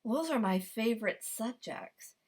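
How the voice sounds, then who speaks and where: A woman voicing a sly, hungry male fox who drawls smoothly and greedily. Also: fairly high pitch, lively intonation, measured pace, a little mellow.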